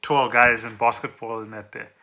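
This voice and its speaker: A man with a South African accent and a very bright, forward voice. He talks casually and off the cuff, as a podcast co-host thinking aloud. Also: mid-range voice, level tone, moderate pace. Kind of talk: casual unscripted podcast chat.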